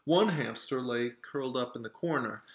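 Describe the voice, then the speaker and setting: A man reading a children's story aloud in an expressive way, as the author, with asides to show pictures. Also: medium pitch, moderate pace. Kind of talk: animated children's storybook narration. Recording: roomy, echoing sound.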